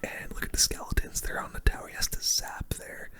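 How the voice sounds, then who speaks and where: A person whispering informal, slangy ASMR commentary over gameplay as the host of a gaming video. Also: fairly quick.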